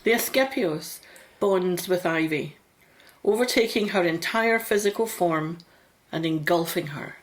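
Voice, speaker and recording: A woman's voice: fairly low pitch, measured pace, slight background noise, low-quality recording. A woman reading scripted narration for a radio drama as its narrator.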